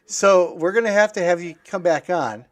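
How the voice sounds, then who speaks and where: Higher-pitched man hosting a casual podcast. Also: normal speed. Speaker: podcast host. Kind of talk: casual conversational interview.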